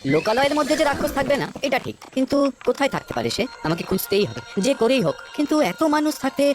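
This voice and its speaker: A woman as an earnest, quick-talking young cartoon prince. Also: high-pitched, sing-song. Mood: pondering. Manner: polite, determined. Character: earnest, brave young prince, loyal friend. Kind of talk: animated fairy-tale cartoon acting.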